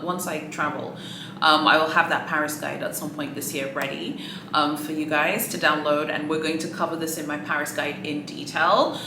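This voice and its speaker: A woman's voice: on the low side, echoey room, noisy background. A woman whose native language is Swahili, commenting in a sassy, exasperated way as a luxury shopping vlogger, full of "like" and "okay". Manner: sassy, exasperated, animated, frequent likes and okays. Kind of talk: casual commentary.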